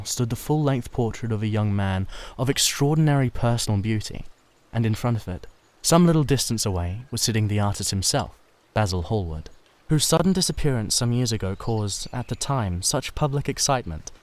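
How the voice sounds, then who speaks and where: A man's voice: mid-range voice, a little mellow. A man reading a classic novel aloud as a dramatised narration. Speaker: amateur book reader.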